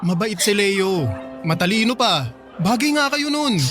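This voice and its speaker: Nice but blunt young filipino man, radio drama, lightish high voice, gentle, faint music. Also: animated, unhurried. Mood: guilty, placating. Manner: gentle, apologetic, candid. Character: kind but frank young man rejecting an admirer.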